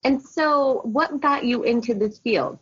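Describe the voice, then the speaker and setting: A woman's voice: a little high, measured pace, slightly rough, dry recording, highs cut off. Upbeat chatty woman podcast host. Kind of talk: casual interview and self-promotion.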